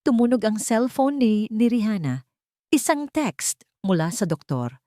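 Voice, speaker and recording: A woman with a Filipino accent narrating a scripted romantic drama story in a dramatic, measured storytelling manner. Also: a little high, sing-song, warm tone, no room sound, clean studio sound.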